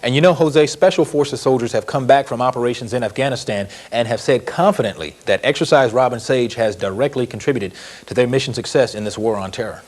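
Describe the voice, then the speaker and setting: A man's voice: medium pitch, quick pace. Male news anchor, middle register, scripted newsreel delivery.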